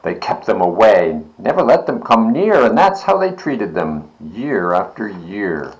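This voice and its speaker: A man's voice: lowish voice, very animated, measured pace, poor audio. A man reading a children's storybook aloud expressively, with dramatic, rhythmic verse delivery. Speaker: library board member reading aloud.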